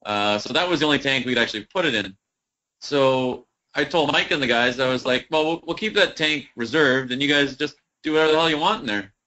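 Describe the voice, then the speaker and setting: A man with a bright, forceful voice talks casually as a podcast guest, easygoing and joking. His pitch jumps up when he gets going. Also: a little fast, muffled recording. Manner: relaxed, joking, swings up in pitch. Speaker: brewery guest. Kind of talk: casual interview chat.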